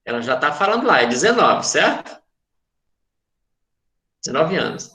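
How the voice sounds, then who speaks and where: Brazilian guy teaching math, bright voice, patient, keeps asking if u got it, echoey room. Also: a little high, very animated, moderate pace. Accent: Brazilian Portuguese. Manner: patient, repeats and checks understanding. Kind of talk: online class explanation.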